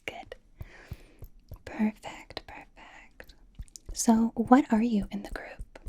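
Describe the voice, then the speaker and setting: A woman playing a bubbly, nervous young K-pop idol in an ASMR roleplay, whispering softly in a chatty, rambling, reassuring way. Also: fairly high pitch, steady tone, slow. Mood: playfully gushing. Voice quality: soft, breathy whisper.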